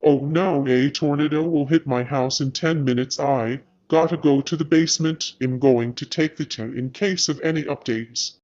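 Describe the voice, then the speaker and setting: A man's voice: a little high, normal speed, hardly any pauses, a little mellow, lo-fi sound. A young man acting out an amateur scripted skit as himself, recorded at home. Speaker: storyteller voicing himself in a homemade skit.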